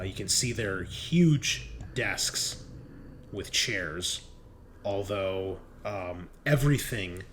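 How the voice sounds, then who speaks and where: Guy running a horror tabletop game, really slow and halting, lowish voice, some noise behind him. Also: a bit bright. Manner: halting, with drawn-out pauses as he describes scenes. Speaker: game master.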